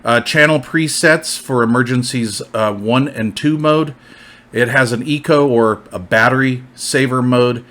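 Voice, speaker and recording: A man's voice: medium pitch, a little slow, a bit bright. A man giving an informal product overview, explaining features in a plainspoken way. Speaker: product reviewer presenting gear.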